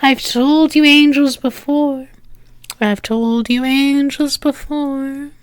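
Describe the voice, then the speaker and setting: A woman playing a flirtatious female demon in an ASMR roleplay, teasing and sultry, breathy and often slipping into a whisper, close to the microphone. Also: sits high, fairly even intonation, no echo. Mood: mocking. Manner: sultry, teasing, drawn-out with lingering pauses.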